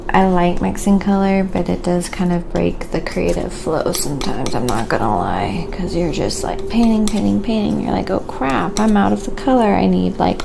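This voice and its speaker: A soft-spoken woman chatting casually and quietly as she narrates what she is doing in an ASMR livestream, in an unhurried way. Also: medium pitch. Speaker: livestreaming craft painter and host. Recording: clear background noise, windy ambience. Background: wind howling.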